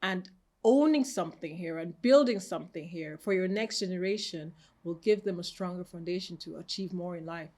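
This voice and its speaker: Woman, thirties, raised in Austria, calm, reflective, indoor shop. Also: fairly low pitch, moderate pace. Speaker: boutique owner, guest. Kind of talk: interview answers. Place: her boutique, indoors.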